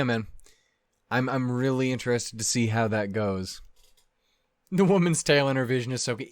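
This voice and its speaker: A man's voice: mid-range voice, no echo. Casual, sweary man in a dry-sounding room. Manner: animated asides with frequent swearing. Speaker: reaction video host. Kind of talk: casual off-the-cuff commentary to viewers.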